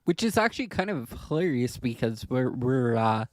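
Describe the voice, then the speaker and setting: A man co-hosting a podcast in casual discussion, speaking hesitantly in halting, trailing clauses. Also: mid-range voice, very animated, dry recording, studio quality. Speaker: podcast co-host.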